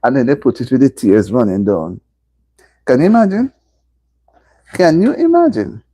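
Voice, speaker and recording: A middle-aged Jamaican woman hosts a casual live stream and talks straight to her viewers. Her voice is husky and mellow, and her pitch swoops up and down as she repeats her points for emphasis. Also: huge pitch swings, normal speed, no echo. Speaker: livestream host.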